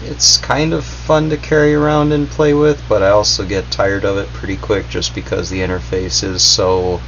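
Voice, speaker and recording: Man, gravelly fry, unscripted reviewer, meandering and noncommittal, slightly muffled recording. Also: fairly low pitch, steady tone, normal speed, a bit bright. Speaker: product reviewer. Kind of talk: casual unscripted gadget review. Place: indoors in a basement, at a workbench. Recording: dull audio, faint background hum.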